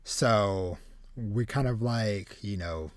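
Halting man chatting on a podcast, dry sound. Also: lowish voice, no room sound. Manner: halting, trailing, repeats words. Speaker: podcast host. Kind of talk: casual podcast chat. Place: small home room with shelves, video call. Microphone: close desk mic.

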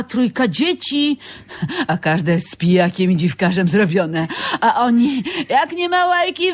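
An earthy older woman from a bra workshop, played by an actress, gossiping in a radio play. She speaks colloquially and spins her anecdotes with relish, at a middle pitch. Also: close to an everyday voice. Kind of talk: radio drama acting.

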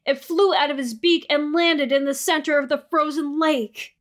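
High-voiced woman narrating a storybook. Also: a bit bright. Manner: measured, storytelling. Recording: faint music behind.

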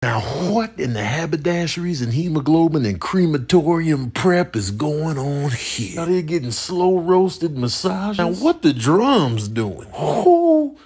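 A man with a husky voice giving slangy, exclamatory comedic commentary over clips in mock outrage. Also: fairly high pitch, sing-song, a little slow, hardly any pauses, a little mellow.

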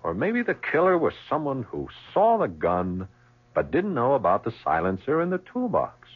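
Police lieutenant, shrewd, probing, husky, lively inflection; muffled old radio recording. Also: male voice, medium pitch, moderate pace. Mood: needling. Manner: probing, insinuating. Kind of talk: 1970s radio drama acting.

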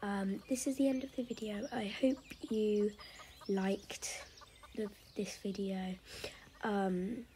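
A woman giving a casual vlog voiceover recap in a soft, breathy, half-whispered voice, hesitant and often trailing off. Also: mid-range voice, fairly even intonation, slow pace, slight background noise. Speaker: vlogger narrating her own horse riding.

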